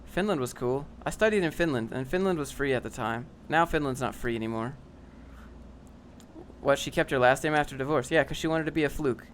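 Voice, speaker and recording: A man's voice: mid-range voice, fairly quick, slight background noise. A young man casually reading and answering chat on a livestream, dry and sarcastic, often rambling.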